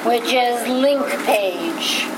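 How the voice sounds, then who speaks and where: A woman's voice: a little high, extremely slow. A woman with a Boston accent teaching a class, patiently explaining each step to her students. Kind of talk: classroom lecture. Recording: echoey classroom with steady background noise.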